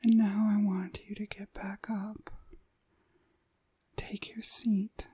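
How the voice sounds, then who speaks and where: A woman playing a dominant, seductive witch mistress in a whispered ASMR hypnosis roleplay, breathy, soothing and commanding. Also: medium pitch, little pitch movement, slow pace, warm tone, no echo.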